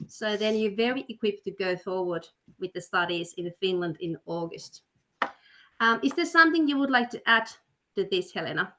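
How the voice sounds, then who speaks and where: A woman's voice: mid-range voice, expressive, normal speed, sharp tone, slight background noise. A woman hosting an education webinar, upbeat and persuasive, with frequent fillers. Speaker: webinar host, study counselor. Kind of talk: webinar presentation. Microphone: video call.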